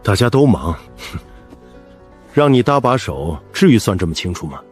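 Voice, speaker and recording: A man playing a cautious, officious law-firm colleague in an animated drama, businesslike and admonishing. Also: lowish voice, measured pace, soft background music. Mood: chiding.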